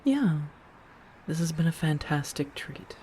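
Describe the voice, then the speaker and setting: A woman playing an affectionate, protective, elegant vampire roommate in a soft-spoken ASMR roleplay, tender and gently theatrical with breathy murmurs. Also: low voice, very animated, unhurried, a little hiss or hum. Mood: playful, content.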